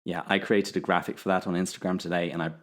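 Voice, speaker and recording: A man hosting a podcast, asking relaxed, encouraging questions in casual chat. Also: deep voice, quick pace. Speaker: podcast host.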